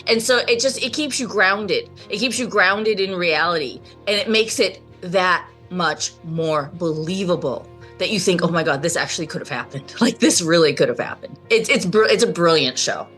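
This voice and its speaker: Bright-voiced, emphatic woman in fan discussion. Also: lowish voice, animated, moderate pace, hardly any pauses, faint music behind. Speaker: panelist in a radio roundtable. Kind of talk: casual, enthusiastic fan discussion.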